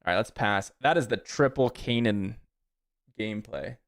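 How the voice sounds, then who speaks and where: A man's voice: fairly low pitch, moderate pace. A man in his thirties streaming a card game, casually chatting with viewers and thinking aloud about his plays. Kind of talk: casual live-stream chat while playing.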